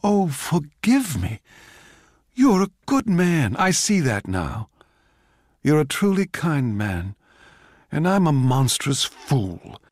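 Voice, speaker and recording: A man voicing a brash young composer in a radio drama, impulsive and exclamatory, excitable in both indignation and gushing feeling. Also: on the high side, takes it slowly, pauses often, no echo, studio quality, pitched above the performer's own voice, full character voice. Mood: gushing, remorseful.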